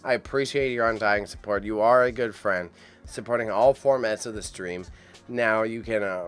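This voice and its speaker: A man hosting a casual auction livestream, chatty and excitable as he rambles to his chat, with a mild impediment on sh and ch sounds. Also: lowish voice. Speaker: livestream host reacting to an online auction. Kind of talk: casual live stream commentary.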